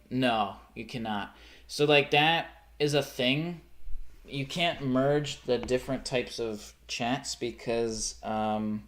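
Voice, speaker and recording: A young man talks loosely to his live-stream audience in a mid-range voice, with a light rural Pennsylvania accent. He rambles, corrects himself mid-sentence and lets thoughts trail off. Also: medium pitch. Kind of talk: casual live-stream chat.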